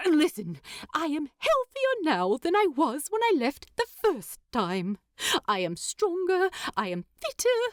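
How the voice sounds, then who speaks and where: Woman voicing an excitable, rambling male hobbit, swooping high. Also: dry recording, studio quality, heavily acted. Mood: insistent, hopeful. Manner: rambling, hesitant, eagerly persuasive. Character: excitable, talkative adult male hobbit, eager and stubborn.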